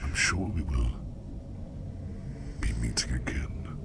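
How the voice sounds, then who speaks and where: A man voicing a curious, courteous sleep demon in an ASMR roleplay, speaking in a breathy, gravelly whisper with formal, measured, reassuring phrasing. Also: rumbling low, expressive, very noisy, full character voice. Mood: calm, courteous.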